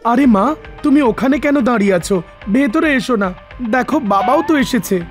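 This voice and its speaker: A man's voice: very high voice, lively intonation, normal speed. Earnest young village doctor, played by a man. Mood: excited. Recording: music under the voice.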